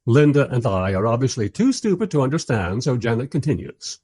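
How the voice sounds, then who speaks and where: An actor playing a bemused, wry filmmaker who narrates and interviews in a scripted radio drama. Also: male voice, medium pitch, sing-song, brisk, a little mellow. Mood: wry, narrating.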